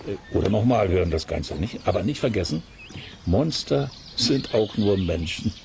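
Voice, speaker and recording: A man playing a curious, excitable, good-humoured explorer in a children's audio play, chattily exclaiming and muttering to himself. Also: on the low side, moderate pace, creaky. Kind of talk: German children's radio play acting.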